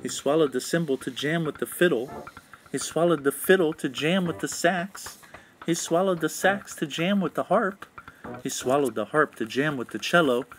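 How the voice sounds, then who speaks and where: A man's voice: fairly high pitch, animated, moderate pace, slight background noise, rough recording. A man reading a children's storybook aloud in a rhythmic, sing-song way. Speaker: children's book read-aloud narrator. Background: instrumental music.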